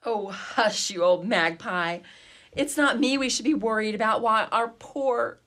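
A woman plays the lady of the house in a radio play, highish in pitch and drawing her words out slowly. She is bossy, indignant and overwrought. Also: a bit bright. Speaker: actor in a community radio play.

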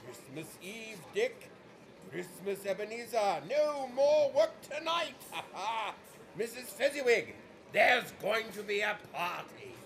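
An actor playing a jolly, hearty old warehouse master in a radio play, full of exuberance. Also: male voice, high-pitched, sing-song, takes it slowly, fairly bright tone, exaggerated voice.

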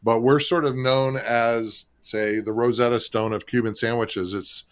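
A man from Florida, a restaurant marketing executive and co-author, talking in a relaxed, chatty way with lots of fillers. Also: mid-range voice, unhurried, clear, resonant tone. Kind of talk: radio interview guest.

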